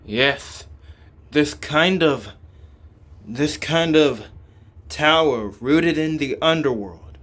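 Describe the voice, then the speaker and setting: Man reading game story, slightly high voice. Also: extremely slow, pauses often, a bit bright. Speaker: reader voicing a game playthrough. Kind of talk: scripted narration of translated game dialogue.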